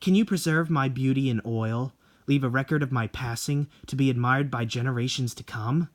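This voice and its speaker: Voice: medium pitch, normal speed, lightly acted. A performer voicing a snobby, beautiful young woman in a horror story, haughty and theatrical, drawing out words. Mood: smug, coy.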